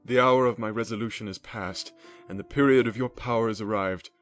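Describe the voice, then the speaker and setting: A man reading the lines of a defiant young scientist, forceful and raised in declamation. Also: on the low side, steady tone, a little fast, close to an everyday voice. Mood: defiant fury. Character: defiant young male scientist, furious and resolute. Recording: music bed underneath.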